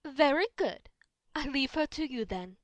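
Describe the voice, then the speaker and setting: A woman's voice: high-pitched, lively intonation, a little slow. A woman voicing a refined, dramatic, doting female unicorn in an amateur audio drama. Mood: polite, protective. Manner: genteel, dramatic.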